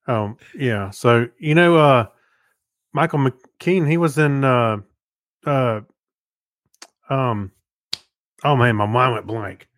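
A man co-hosting a podcast, chatting casually about shows in a halting way, often searching for words. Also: medium pitch, fairly bright tone, no room sound. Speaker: podcast co-host.

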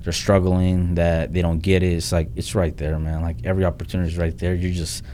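Fast-talking deep-voiced man, flat delivery. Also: slight background noise. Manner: blunt, restarts often, says "like" and "man". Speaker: real estate investor guest. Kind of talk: casual podcast interview talk.

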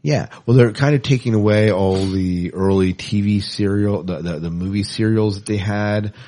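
A middle-aged man hosting a podcast, talking casually and with clear opinions about movie news. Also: fairly low pitch, moderate pace, dark tone. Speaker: podcast host.

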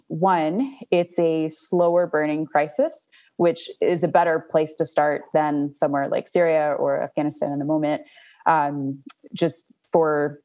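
A young adult woman, a startup co-founder, giving measured explanatory answers in an interview. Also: low-pitched, darkish tone, highs cut off. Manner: measured, explanatory, with small restarts.

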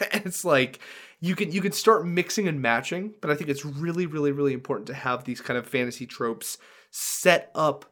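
Excitable man chatting on a podcast. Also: fairly high pitch, moderate pace. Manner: enthusiastic, many fillers and restarts. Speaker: podcast co-host. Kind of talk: casual podcast chat.

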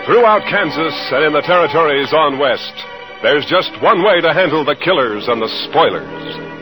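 An American man introduces a vintage radio drama. His delivery is dramatic and widely varied in pitch. Clear music plays behind him. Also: lively intonation, normal speed, poor audio.

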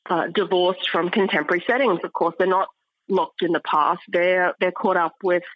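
Middle-aged female scholar as interview guest: lowish voice, measured and articulate, dry but slightly muffled recording. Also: fairly even intonation. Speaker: interview guest, archaeology professor. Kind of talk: thoughtful academic interview talk.

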